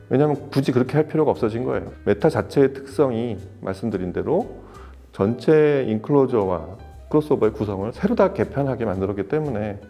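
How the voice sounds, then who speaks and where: A man presenting for an audio shop, explaining loudspeaker design in a technical, step-by-step way with occasional restarts. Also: medium pitch. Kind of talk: explanatory product talk. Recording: soft music behind voice.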